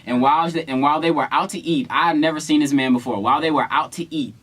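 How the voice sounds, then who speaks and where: A man's voice: on the high side, quick pace, breathy edge. Young American man, playful casual storyteller. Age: young adult. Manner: playful, slangy, animated retelling with acted-out quotes. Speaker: vlog co-host.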